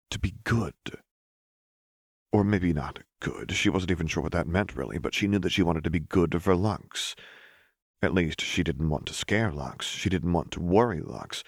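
A man with a low voice narrates dramatic fiction. His storytelling is measured and expressive, and the recording is close and dry, with no room sound. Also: no echo. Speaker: audiobook narrator.

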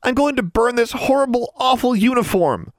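A man's voice: very high voice, expressive, normal speed, no room sound, clean studio sound, voice pushed up. A man voicing a hot-tempered, indignant schoolgirl for an audiobook story. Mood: furious.

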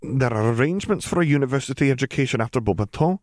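A man's voice: medium pitch, lively intonation, fast, a little mellow, dry recording, studio quality, voice pushed up, lightly acted. A man voicing a stern, formal older witch schoolteacher with precise diction in an audiobook story. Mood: measured, formal.

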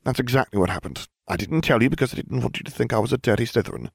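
A man's voice: mid-range voice, expressive, rapid-fire, vocal fry, husky, no echo, voice pushed up. A man voicing a smug teenage boy wizard in an audiobook, with dry, flippant delivery. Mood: sheepish. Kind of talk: audiobook character voice.

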